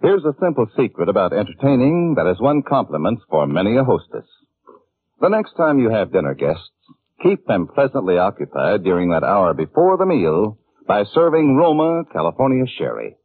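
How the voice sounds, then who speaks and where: A man's voice: fairly low pitch, very animated, moderate pace, husky. A man with an American accent announcing a 1940s radio commercial in a polished, emphatic sales delivery. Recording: muffled tinny vintage radio recording.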